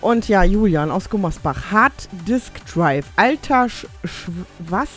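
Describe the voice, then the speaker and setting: A middle-aged woman hosting a casual radio show, rambling and self-mocking, reading listener messages in halting broken English. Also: mid-range voice, lively intonation, fairly bright tone, soft background music.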